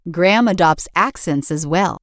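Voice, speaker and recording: A woman's voice: fairly low pitch, animated, normal speed, dry recording, studio quality. A woman reading scripted narration as the narrator of a podcast.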